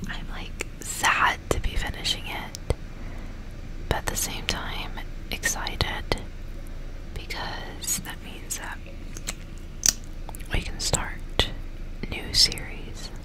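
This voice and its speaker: A woman speaking in a soft, breathy, unvoiced whisper close to the microphone, in a slow and deliberate ASMR style. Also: poor audio. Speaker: ASMR host reading a novel aloud. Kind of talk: whispered ASMR, chat and audiobook-style reading.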